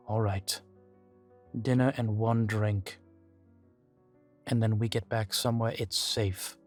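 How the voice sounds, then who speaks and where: Male actor as proud world-weary vampire, audio drama, lowish voice, formal measured delivery, guarded, soft music bed. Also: fairly even intonation. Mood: relenting, fond. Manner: formal, measured, deliberate phrasing. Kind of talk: scripted audio roleplay to the listener. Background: background music.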